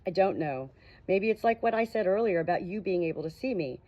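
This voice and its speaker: A woman's voice: on the low side, lively intonation, a little fast, warm tone, no room sound. A woman voicing a shy, wry and frank amnesiac ghost girl in an audiobook, with a hesitant manner. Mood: tentative. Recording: dull, muffled lo-fi audio.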